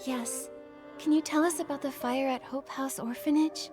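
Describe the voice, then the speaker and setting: An actress playing a gentle, earnest, inquisitive young heroine in a video game, with a soft manner. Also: female voice, high-pitched, fairly even intonation, normal speed, over music, lightly acted. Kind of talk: scripted video game character dialogue. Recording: long hall-like reverb.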